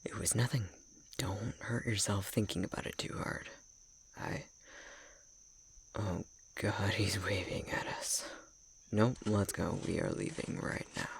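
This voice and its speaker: Low, whispery, prickly elf academic played by a man. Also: fairly even intonation, a little slow, gravelly, pauses often. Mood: hushed, flustered. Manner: curt, haughty, exasperated, often dropping into whispers. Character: prickly tsundere male elf academic, grudgingly caring rival. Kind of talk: ASMR roleplay acting.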